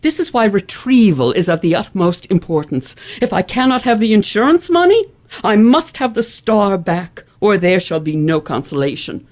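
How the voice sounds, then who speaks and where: Radio play role: vain, haughty elocution madam, acted by a woman, very animated, formal delivery. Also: medium pitch, normal speed, close to an everyday voice. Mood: haughty, indignant. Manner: pompous, formal, emphatic enunciation. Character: proud, vain, imperious elocutionist lady, easily outraged. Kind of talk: old-fashioned radio play acting.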